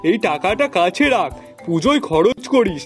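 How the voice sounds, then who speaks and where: A man's voice: high voice, big pitch swings, moderate pace, faint music behind. A man playing a fussy, dependent, easily exasperated master of the house in a cartoon, in a theatrical, complaining way. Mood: resigned, kindly. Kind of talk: cartoon voice acting.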